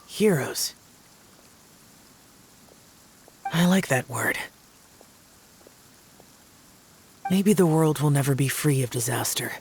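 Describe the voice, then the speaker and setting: A man's voice: medium pitch, lively intonation, a little hiss or hum, natural voice. Man acting solemn, sorrowful young-sounding immortal warrior. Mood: quietly hopeful. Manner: grave, formal, measured, reflective. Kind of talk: dramatic video game dialogue acting.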